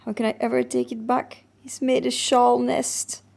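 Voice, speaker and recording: A woman's voice: a little high, flat delivery, moderate pace, gravelly, darkish tone. A woman from Belgium with a Flemish accent, a native Dutch speaker, chatting casually as a vlogger in a giggly, playful, self-interrupting way. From Flanders, Belgium. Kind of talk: casual chatty craft vlog.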